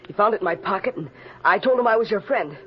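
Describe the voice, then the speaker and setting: Quick, high-voiced eager cowboy lad. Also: male voice, expressive, slight background noise. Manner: earnest, tumbling phrases. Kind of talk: 1950s radio western acting. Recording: muffled old radio recording.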